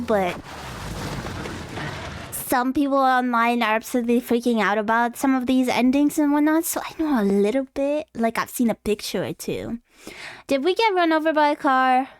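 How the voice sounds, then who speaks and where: A young woman live streaming a game, chatting casually and hesitantly, rambling as if talking to herself. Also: high-pitched. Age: young adult.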